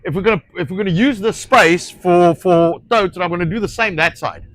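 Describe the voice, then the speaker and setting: A man with a South African Afrikaans accent and a fairly high-pitched voice talks outdoors about his ranch work. He often stalls on words, filling gaps with "uh" and "you know" and restarting his sentences, and he swears offhandedly. The sound is dry and close. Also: a little fast, fairly bright tone, dry recording. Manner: searches for words, frequent fillers and restarts, swears casually. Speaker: ranch owner and show host. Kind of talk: casual outdoor project talk.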